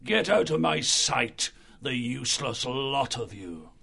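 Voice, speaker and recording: A man voicing a boastful, bullying male raven general who shouts challenges and sneers at his underlings. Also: medium pitch, sing-song, slow pace, vocal fry, slightly rough, pitched above the performer's own voice, full character voice. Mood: contemptuous. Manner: blustering, contemptuous, shouting challenges. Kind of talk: audiobook character voice.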